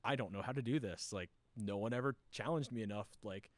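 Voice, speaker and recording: A man's voice: on the low side, a little fast, no echo. A man talking as a podcast guest in casual chat, rambling and reflective. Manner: rambling, reflective, many fillers.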